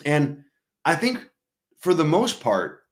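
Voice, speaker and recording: A man hosting a live wrestling news show, chatty and upbeat, a bit hoarse from losing his voice. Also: fairly high pitch, wildly swooping pitch, slow pace. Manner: upbeat, chatty, many fillers. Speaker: host. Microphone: streaming setup mic.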